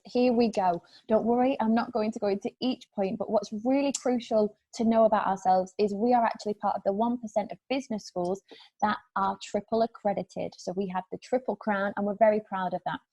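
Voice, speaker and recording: A woman's voice: medium pitch, dark tone, rough recording. A British woman, an admissions manager, presenting in an upbeat way during an online information session over a video call. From England.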